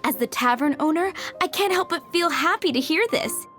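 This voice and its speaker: A woman's voice: high-pitched, lively intonation, moderate pace, a bit bright, faint music behind. A woman voicing a cheerful, breezy adult tavern owner who bosses her staff around, in a game. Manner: breezy, upbeat, firm.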